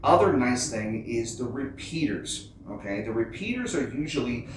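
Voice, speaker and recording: A man's voice: mid-range voice, animated, normal speed, slight background noise. A middle-aged man giving a casual explainer as host of an off-road how-to channel. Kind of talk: casual explainer talk to camera. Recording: very echoey, distant from the mic.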